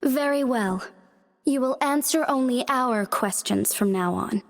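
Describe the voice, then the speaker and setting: An actress playing a calm, dignified young female magistrate in a game cutscene, speaking in a composed, formal and measured way. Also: sits high, creaky, big-room sound. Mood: stern. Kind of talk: video game cutscene voice acting.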